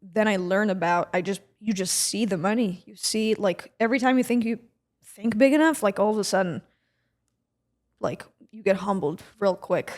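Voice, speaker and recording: A woman in her twenties, from Israel, co-hosts a casual podcast chat. Her voice sits at a middle pitch, and she talks in a relaxed, chatty way full of fillers. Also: moderate pace. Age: 20s. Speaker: podcast co-host.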